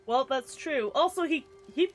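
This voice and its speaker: A woman's voice: high voice, expressive, moderate pace, faint music behind. A woman streaming a video game, chatting casually and playfully, often laughing at herself. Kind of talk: casual gameplay commentary.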